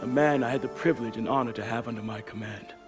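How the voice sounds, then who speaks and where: An actor playing a dignified corporate leader giving a formal, solemn eulogy. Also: male voice, medium pitch, fast, over music, close to an everyday voice. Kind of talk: video game cutscene acting.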